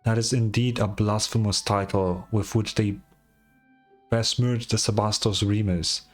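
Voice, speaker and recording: A man's voice: on the low side, steady tone, measured pace, faint music behind. A man voicing a pompous, devout bell ringer from a fantasy game, preaching in grand archaic phrases. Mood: reverent. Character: pompous zealous male bell ringer, dreamy cult devotee. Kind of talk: game dialogue read in character.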